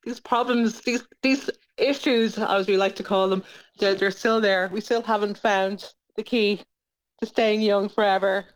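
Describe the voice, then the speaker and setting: Clear-voiced, chatty woman. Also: mid-range voice, fairly even intonation, a little slow, rough recording. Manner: informal, chatty, self-correcting. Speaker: interview guest, playwright and producer. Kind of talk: conversational radio interview.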